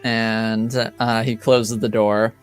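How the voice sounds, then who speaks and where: Smooth, slow-paced male game master. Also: fairly low pitch, little pitch movement, faint music behind. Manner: hesitant, improvising with pauses. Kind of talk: narrating a tabletop game.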